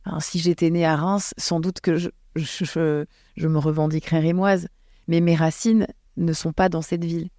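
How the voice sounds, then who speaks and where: Woman chatting on a podcast, gravelly low voice, mellow, lots of restarts, dry close sound. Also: fairly even intonation, normal speed, no room sound. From France. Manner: thoughtful, hesitant with many restarts. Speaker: guest. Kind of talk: casual podcast conversation.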